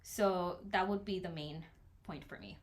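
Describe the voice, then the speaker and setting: A young woman from Nepal, co-hosting a casual podcast and explaining her points with personal examples in a measured way. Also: fairly low pitch. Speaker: podcast co-host.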